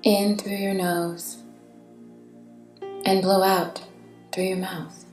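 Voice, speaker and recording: A woman guiding a meditation in a soothing, gentle, deliberate way with long pauses, her phrases trailing into breathiness. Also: mid-range voice, little pitch movement. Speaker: meditation guide. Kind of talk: guided meditation narration. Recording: reverberant, distant-sounding voice over soft music.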